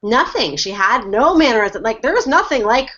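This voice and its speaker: A woman hosts a relaxed fan chat over a video call, her voice leaping up and down in pitch as she talks. The audio is dull and lo-fi, the way a web call sounds. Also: normal speed. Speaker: podcast host.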